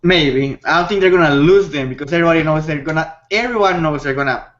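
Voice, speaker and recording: Higher-voiced man arguing his take, lo-fi audio. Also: quick pace, noisy background. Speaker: discussion panelist. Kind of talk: casual opinionated group discussion. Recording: dull lo-fi audio.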